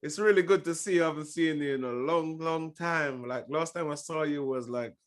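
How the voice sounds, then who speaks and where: A man's voice: fairly high pitch, a little fast. A man hosting a podcast, effusive and loose, with lots of fillers as he talks. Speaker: podcast host. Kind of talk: casual interview chat.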